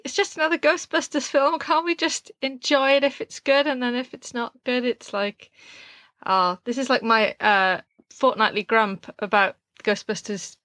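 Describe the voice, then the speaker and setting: A young woman hosts a film podcast in a chatty, filler-heavy way. Her voice is fairly high and bright with a forceful edge, recorded dry and close with no room sound. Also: steady tone, normal speed, no room sound. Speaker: podcast host. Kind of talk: casual chat.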